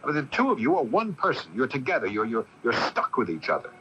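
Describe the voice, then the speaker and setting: An actor playing an earnest, concerned sculptor in a radio mystery drama, reasoning hesitantly with a slight stammer. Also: male voice, mid-range voice, wildly swooping pitch, quick pace, pushed and bright. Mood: coaxing, hesitant. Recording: muffled, noisy old AM radio broadcast.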